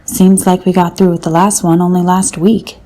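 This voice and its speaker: Man doing a soft-toned, chatty village housewife, shift+. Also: high voice, little pitch movement, normal speed, pitched above the performer's own voice, lightly acted.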